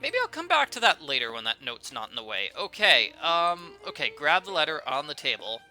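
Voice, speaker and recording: A man's voice: fairly high pitch, very animated, a little fast, bright, forceful tone. A man giving casual, playful commentary as he hosts a video game playthrough. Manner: chatty, playful, informal. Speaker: gaming video host. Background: faint game music.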